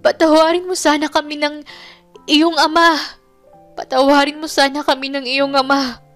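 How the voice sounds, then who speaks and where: A high-voiced, sorrowful older mother from an audio storybook, acted out by a female narrator. She pleads and apologizes with a tearful, confessing manner, over background music. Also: flat delivery, moderate pace, a bit bright. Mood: tearful pleading.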